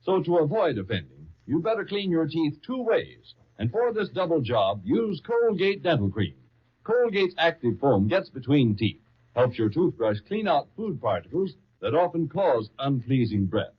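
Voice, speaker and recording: A man's voice: fairly high pitch, sing-song, normal speed, breathy edge. A man reading as an old-time radio announcer, with an emphatic, persuasive salesman's delivery. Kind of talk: 1930s radio advertising read. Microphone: studio broadcast microphone. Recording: muffled, lo-fi 1939 radio broadcast.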